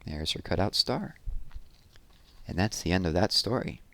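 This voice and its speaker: A man's voice: low voice, animated, moderate pace, gravelly, a bit bright. A man reading storybooks aloud for children in a storytelling style. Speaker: storybook reader.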